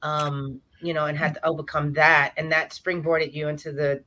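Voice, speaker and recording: A woman from New York hosts a relaxed online interview. Her voice is bright and clear, and she chats freely, filling pauses with "you know" and warm affirmations. She is heard over a video call. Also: deep voice. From New York, USA. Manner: chatty, warm affirmations with many fillers. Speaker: interview host.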